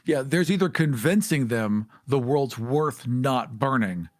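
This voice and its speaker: A man co-hosting a podcast, talking emphatically in casual chat and jumping up in pitch to stress his points. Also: measured pace, no room sound, clean studio sound. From Armenian heritage. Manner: emphatic, animated, jumps up in pitch when making a point. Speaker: podcast co-host.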